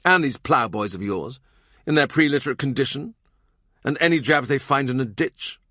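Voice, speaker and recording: A man's voice: medium pitch, animated, moderate pace, sharp tone, no room sound, clean studio sound, voice pushed up. A man voicing a proud, sneering bishop at a royal court in a historical audiobook, vehement and sardonic.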